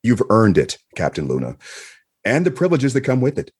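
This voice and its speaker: Actor as calm admiral guy in a radio play, husky, reassuring, medium pitch. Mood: reassuring, congratulatory. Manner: measured, reassuring. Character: calm, benevolent male admiral in space. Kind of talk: audio drama acting.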